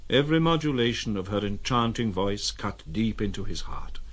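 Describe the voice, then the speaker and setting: A man giving scripted literary narration for an audio drama, with a husky voice. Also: on the low side, expressive, moderate pace.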